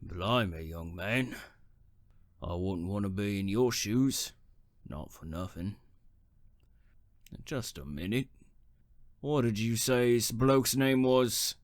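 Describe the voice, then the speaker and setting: A man's voice: fairly low pitch, animated, takes it slowly, long pauses, no echo, clean studio sound. A man playing a plain-spoken old working-class cemetery worker in a radio drama, wry and colloquial. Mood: wary surprise.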